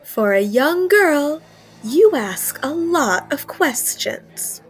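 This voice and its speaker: A woman playing a mysterious, courteous stallholder who speaks in an unhurried, knowing manner, in a fan audio drama. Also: high voice, very animated, a faint crowd, full character voice. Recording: music underneath.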